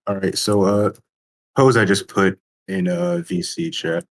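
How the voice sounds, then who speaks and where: A man playing a tabletop game, chatting casually and haltingly as he thinks aloud. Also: low voice.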